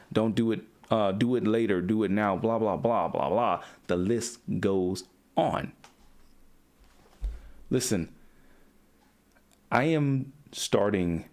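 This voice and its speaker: A man's voice: fairly low pitch, a little mellow. A man coaching young men in a blunt, profane, preachy talk aimed at the camera. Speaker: men's coach. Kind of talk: direct-to-camera motivational talk.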